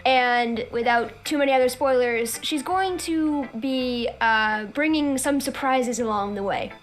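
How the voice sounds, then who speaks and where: A woman's voice: high voice, unhurried, a little hiss or hum. A woman chatting casually on a livestream in a playful, giggly manner. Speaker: livestream collaborator. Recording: music behind the voice.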